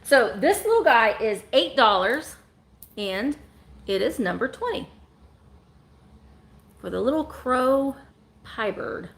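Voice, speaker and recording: A woman's voice: a little high, big pitch swings, slow, pauses often, fairly bright tone. A woman in her forties hosting a live vintage sale from home, chatting and joking as she shows items. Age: 40s. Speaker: online vintage seller.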